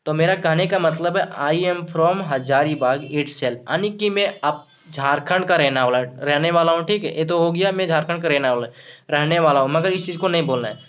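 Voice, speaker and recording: A man teaching English to Hindi speakers. He repeats himself, restarts words and slows right down when he says the English examples. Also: fairly high pitch, fairly bright tone, smooth. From Jharkhand, India. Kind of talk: explaining English phrases in Hindi. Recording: dull lo-fi sound.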